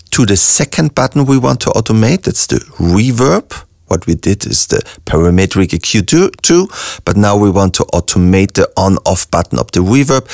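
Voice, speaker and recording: A young man with an Austrian German accent, a music producer calmly walking through a software tutorial step by step with frequent ums. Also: lowish voice, gravelly, no echo, clean studio sound. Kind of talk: screen-recorded software tutorial.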